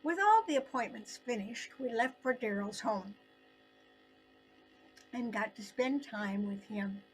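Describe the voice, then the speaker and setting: Older female storyteller, slow and deliberate, expressive delivery with dramatic pauses, high register. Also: a little hiss or hum, low-quality recording. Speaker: storyteller narrating her own story. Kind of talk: scripted personal story narration.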